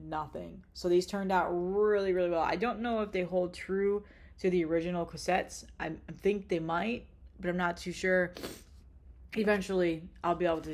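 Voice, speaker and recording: A woman's voice: lowish voice, darkish tone. A woman with a stuffy, congested voice, chatting casually and bluntly about her tape collection, rambling with lots of ums and swearing. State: congested with a cold. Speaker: vlogger showing her cassette collection.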